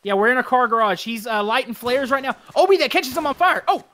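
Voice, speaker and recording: A man chatting casually with friends over gaming voice chat, excitable and repeating urgent calls. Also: very high voice, fast. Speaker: gamer playing with friends. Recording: voice chat with clear background noise.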